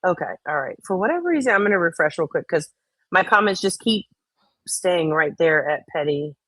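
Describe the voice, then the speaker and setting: A woman's voice: fairly low pitch, lively intonation, fairly quick, fairly bright tone, no room sound. A woman co-hosting a casual chat, reading out and reacting to comments in a blunt, opinionated way with some swearing.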